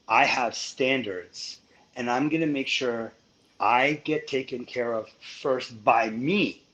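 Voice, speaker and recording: A man talking on a podcast as a guest, laying out money advice in an emphatic, explanatory way and slowing down to stress his points. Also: mid-range voice, fairly bright tone, low-quality recording. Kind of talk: casual podcast chat.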